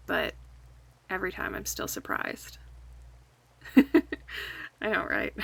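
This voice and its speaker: A woman playing an affectionate, chatty friend in a casual, intimate ASMR roleplay, speaking softly and in a relaxed way. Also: mid-range voice, creaky, dry recording, exaggerated voice. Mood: cozy, chatty. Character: affectionate young woman, cozy longtime friend hosting a night in. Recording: faint background ambience noise.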